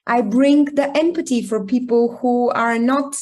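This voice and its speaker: A woman's voice: a little high, steady tone, darkish tone. Reflective woman. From Bulgaria. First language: Bulgarian. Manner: reflective, thoughtful, with frequent pauses and ums. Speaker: guest speaker, founder. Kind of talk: candid personal storytelling in an online talk. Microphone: video call.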